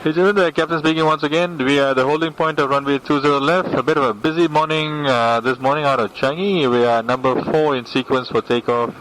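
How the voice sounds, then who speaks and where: A man's voice: on the high side, steady tone, fast talker, hardly any pauses, a bit bright, rough recording. A man speaking as airline captain, giving a casual, informal update over the plane's intercom. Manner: casual, often tags sentences with "huh". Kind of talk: informal spoken passenger update. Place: aircraft intercom heard in the cabin. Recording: cabin speaker with faint noise.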